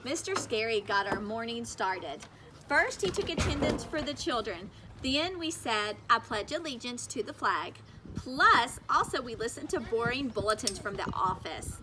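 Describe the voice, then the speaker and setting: A woman's voice: on the high side, lively intonation, moderate pace, noisy background. A woman reading a children's story aloud as a teacher, narrating expressively with emphatic, childlike phrasing.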